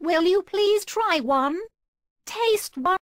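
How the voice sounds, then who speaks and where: A woman's voice: very high voice, lively intonation, takes it slowly, no echo, studio quality, voice pushed up, full character voice. A woman voicing a sly, coaxing old woman in an amateur fairytale storybook.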